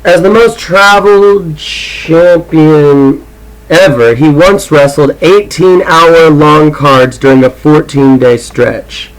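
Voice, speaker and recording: A man's voice: a little high, slow, rough recording. A man with an irreverent, foul-mouthed manner, talking casually and reading aloud as an amateur audiobook reader.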